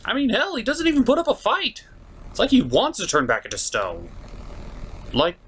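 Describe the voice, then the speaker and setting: A man hosts a review with theatrical, exasperated delivery. His pitch swings widely as he builds each complaint in opinionated commentary. Some background noise sits under the voice. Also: wildly swooping pitch, moderate pace, fairly bright tone. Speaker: host of a cartoon review show.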